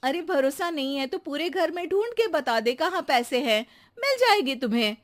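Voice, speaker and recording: A woman voicing a calm, amused housewife in a cartoon who soothes her husband and teases the maid. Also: high voice, normal speed, pitched above the performer's own voice. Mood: teasing. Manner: soothing, teasing and chuckling. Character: calm, amused housewife, patient but teasing. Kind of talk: Hindi cartoon voice acting.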